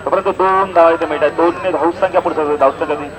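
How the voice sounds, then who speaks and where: A man with a Maharashtrian accent works as a live cricket commentator and announcer. His voice sits a little high. He is recorded at an outdoor ground with plenty of crowd sound behind him. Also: fairly even intonation, fast, poor audio.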